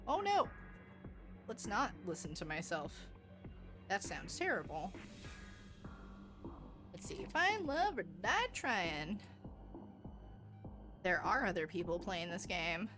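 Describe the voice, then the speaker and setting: A woman livestreamer chatting casually with her viewers in an expressive, bubbly, rambling way. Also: on the low side, slow pace, pauses often, over music. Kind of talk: casual live game stream chat with viewers.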